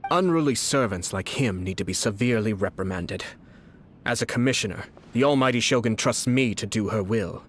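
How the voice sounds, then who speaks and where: A man voicing a poised young nobleman commissioner in a video game, formal, measured and controlled, courteous with quiet menace. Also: on the low side, animated, a little hiss or hum, close to an everyday voice. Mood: composed, courteous. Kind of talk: video game voice acting, scripted dialogue.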